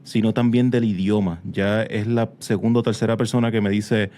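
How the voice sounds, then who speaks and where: Guy podcast host, mellow voice, chatty, drops english words in. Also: fairly low pitch, steady tone, normal speed, a little hiss or hum. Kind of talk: casual conversational interview.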